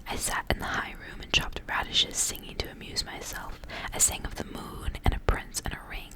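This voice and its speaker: ASMR reader: woman, whispered throughout, narrating a fairy tale in a hushed voice. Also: moderate pace, poor audio. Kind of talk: whispered ASMR storybook reading.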